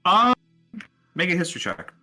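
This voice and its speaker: A man's voice: high-pitched, very animated, moderate pace, pushed and bright, faint music behind. A man narrating a tabletop roleplaying game in a casual way as the game master.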